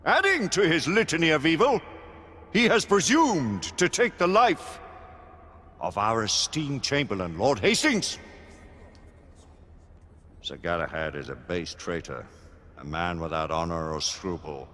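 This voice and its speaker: A stern judge presiding over a council of knights in a period video game. His voice is husky yet bright and forceful, declaiming accusations formally, and it rings through a long hall reverb. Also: lowish voice, very animated, unhurried, long pauses, exaggerated voice. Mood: grave, accusing. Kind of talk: theatrical period drama game acting.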